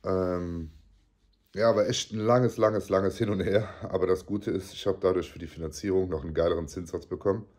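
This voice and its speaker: A man in his forties with a cold, talking casually and excitably into a phone camera held at arm's length. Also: deep voice, no room sound, clean studio sound. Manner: chatty, excitable, swears casually. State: has a cold. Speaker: vlogger. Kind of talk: casual vlog. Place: living room, then car park and car interior.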